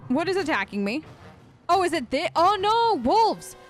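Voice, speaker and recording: A woman's voice: extremely high pitch, sing-song, normal speed, faint music behind. A woman hosting a let's play, giving casual, chatty role-play commentary and musing in character.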